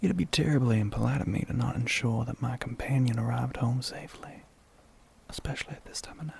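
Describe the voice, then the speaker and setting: A man voicing a flirty, sly, gentlemanly demon with a Southern US accent in an ASMR roleplay, half-whispered, breathy and husky, with a teasing drawl. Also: medium pitch, slight background noise. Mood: smooth, teasing. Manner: slow, teasing drawl with pet names.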